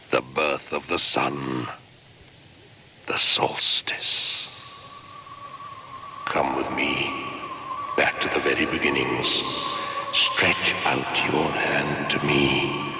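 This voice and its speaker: Very deep, slow ancient shaman spirit. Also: a little hiss or hum, heavily acted. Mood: solemn, beckoning. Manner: slow, incantatory, breaking into hushed whispers. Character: ancient male shaman spirit of midwinter, solemn and menacing. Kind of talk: dramatic radio play monologue.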